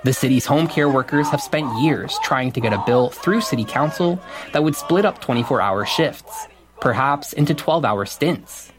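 Male field reporter, mid-pitched voice, measured scripted read. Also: noisy background. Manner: measured, even news delivery. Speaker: radio reporter. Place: on location outdoors at a protest.

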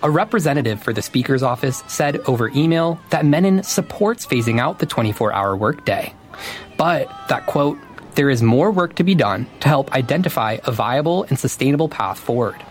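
A man reporting from the field in a measured, scripted news delivery. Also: mid-range voice, animated. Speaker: radio reporter. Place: on location outdoors at a protest.

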